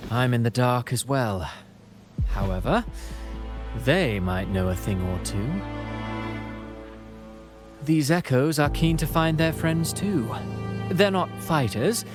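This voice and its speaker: An actor playing a courteous, wordy playwright with eloquent, poetic and measured phrasing in a video game. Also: male voice, mid-range voice, lively intonation. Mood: helpful. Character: courteous, wordy male playwright with a theatrical flair. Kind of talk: scripted game dialogue. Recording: music playing behind the voice.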